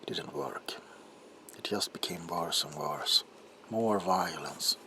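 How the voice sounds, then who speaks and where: A man playing an anguished, guilt-ridden doctor confessing in an ASMR roleplay monologue, halting and intense, with a breathy voice that often drops into a whisper. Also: low-pitched, noisy background. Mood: grim remorse. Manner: halting, haunted, intense, broken phrases.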